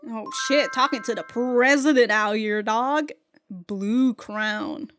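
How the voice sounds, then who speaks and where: High-voiced woman giving casual reactions. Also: slow, a bit bright, faint music behind. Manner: slangy, frequently swearing. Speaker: reaction video host.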